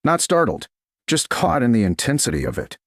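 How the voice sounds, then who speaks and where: A man narrating a scripted romance story in a measured, dramatic way, in short clipped phrases. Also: mid-range voice, very animated, no echo, studio quality. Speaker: audiobook narrator.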